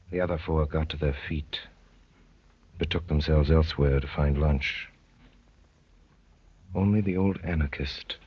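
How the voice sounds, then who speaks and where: An actor playing an intense undercover detective, measured and theatrical, with a husky voice, in 1930s radio drama style. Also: male voice, deep voice. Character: intense young undercover detective, narrating his own adventure. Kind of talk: 1930s radio acting, dramatic first-person narration. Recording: dull, muffled old 1930s radio recording.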